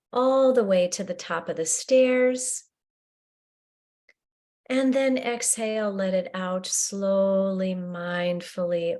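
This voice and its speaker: A woman leading a guided breathing meditation in a slow, gentle, soothing way with long pauses. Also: medium pitch.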